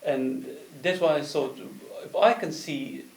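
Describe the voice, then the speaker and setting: A man, a business consultant, talking earnestly about his work, phrasing slightly halting. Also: a little high, darkish tone, recorded from a distance. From South Africa. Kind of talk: casual interview chat. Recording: echoey room, off mic.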